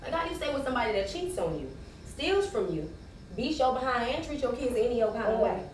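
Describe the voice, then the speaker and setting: A woman's voice: a little high, expressive, fast, natural voice. A woman playing a brash, loyal street-wise friend in a stage play, slangy and outspoken. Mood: scornful. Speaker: stage actor. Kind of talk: live 1990s-set stage play dialogue. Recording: distant, echoey, noisy stage recording.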